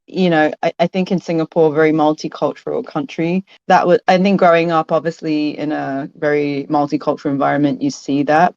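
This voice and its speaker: A woman from London, a podcast guest who answers thoughtfully, pausing and restarting phrases. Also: deep voice, gravelly, a little mellow, no echo, lo-fi sound. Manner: thoughtful, hesitant, restarts phrases and laughs often. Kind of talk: casual interview conversation.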